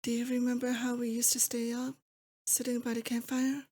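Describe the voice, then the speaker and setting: A woman playing a possessive, affectionate demon lord in an intimate ASMR roleplay, speaking to her captive sweetheart in a doting, wistful, unhurried way. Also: a little high, completely flat delivery, mellow, breathy edge. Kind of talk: roleplay ASMR, intimate scripted monologue to the listener.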